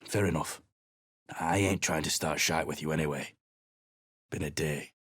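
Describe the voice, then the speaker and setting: A middle-aged man voicing a surly, weary male mercenary adventurer in a video game, talking in a gruff, blunt and sarcastic way. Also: rumbling low, steady tone, moderate pace, fairly bright tone, no room sound, studio quality, exaggerated voice. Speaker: game character.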